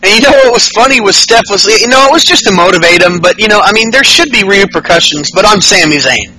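A man's voice: sits high, expressive, fast talker, sharp tone, rough recording. A man in his late twenties co-hosting a podcast, chatting casually about wrestling, profane and rambling with many restarts. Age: 20s. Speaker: podcast co-host.

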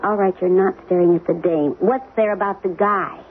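A woman's voice: mid-range voice, steady tone, normal speed, a little hiss or hum, natural voice. A woman playing a chatty, wry, nagging middle-aged wife in a 1970s radio drama. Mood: needling. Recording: dull lo-fi 1970s radio recording, faint music.

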